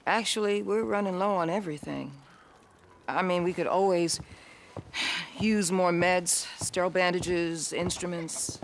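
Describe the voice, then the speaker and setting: A woman's voice: lowish voice, steady tone, measured pace, a little hiss or hum. An actress playing a caring, earnest young woman who works as a survivor camp medic in a video game. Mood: concerned, helpful.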